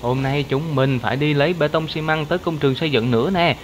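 A man's voice: fairly high pitch, fast. A man narrating a children's cartoon, addressing young viewers as friends. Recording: clear background vehicle noise.

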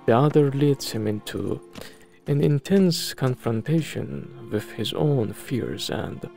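Man, scripted game review narration, animated intonation, gravelly fry, faint music behind. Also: mid-range voice, slow. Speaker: video presenter. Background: background music.

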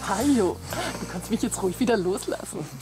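Woman acting middle-aged wife in a radio play, pointed n teasing, animated voice, music behind. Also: mid-range voice, fairly quick, close to an everyday voice. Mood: confident. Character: middle-aged wife and mother Heidi, sharp-tongued yet fond.

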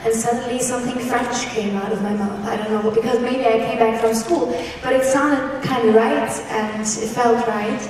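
A woman's voice: a little high, fairly even intonation, normal speed, hardly any pauses, a little mellow. A young woman from Austria, chatting loosely as a singer in a press interview, with many restarts. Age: young adult. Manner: chatty, rambling, with frequent restarts and laughs. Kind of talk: casual press interview answers. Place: large press hall, indoors. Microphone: handheld mic. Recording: echoey hall, mic from far off, faint music.

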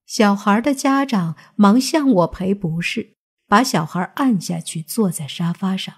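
Slow, husky woman storyteller. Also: medium pitch, animated. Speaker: audiobook narrator. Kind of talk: scripted suspense story narration. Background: background music.

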